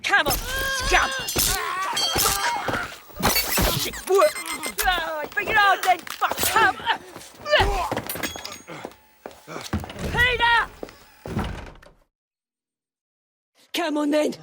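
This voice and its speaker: High-pitched, vicious British crime boss. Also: female voice, lively intonation, measured pace, very noisy. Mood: enraged, taunting. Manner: menacing, taunting, coarse. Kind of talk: video game voice acting.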